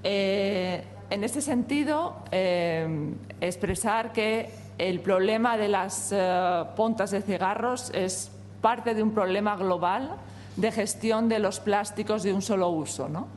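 A woman, a native Spanish speaker, reads out formal testimony at a hearing. She moves at a measured, hesitant pace and fills her pauses. The sound carries a long hall reverb with a faint hum behind it. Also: medium pitch, darkish tone. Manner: measured, hesitant, with frequent fillers. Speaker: company representative at a parliamentary hearing.

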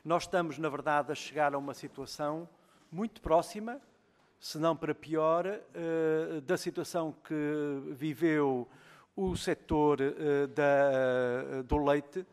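A man speaking as a member of parliament, giving a formal speech full of filled pauses and restarts. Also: a little high. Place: parliamentary committee room. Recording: long hall reverb.

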